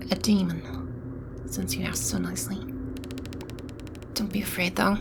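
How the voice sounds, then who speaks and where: A soft, breathy female demon, acted by a woman, speaks slowly and soothingly, with a gravelly creak and whispery passages. She is a gentle protector in an ASMR roleplay, with noticeable ambience behind the voice. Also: fairly low pitch, steady tone, background noise. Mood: concerned, guarded. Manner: soft, unhurried, soothing reassurance. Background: ambient sound effects.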